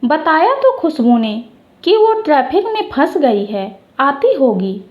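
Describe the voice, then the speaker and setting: An exasperated but caring mother from a family story, voiced by a woman narrator. She scolds fondly in a high voice with animated pitch and takes her time over the words. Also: takes it slowly, muffled recording, heavily acted. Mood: scolding. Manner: scolding, fond.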